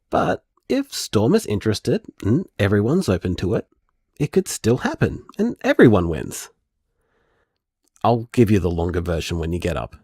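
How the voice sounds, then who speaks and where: Excitable matchmaker dude in audio drama, chatty n dramatic, lively pitch, bit husky. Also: male voice, dry recording, studio quality, heavily acted. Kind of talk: audio drama voicemail acting.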